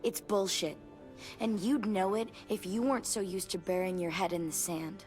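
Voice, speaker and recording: A woman's voice: mid-range voice, steady tone, moderate pace, a little mellow, soft background music, close to an everyday voice. A woman voicing a blunt, tough teenage girl in naturalistic video game drama. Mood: angry, frustrated. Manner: blunt, sardonic.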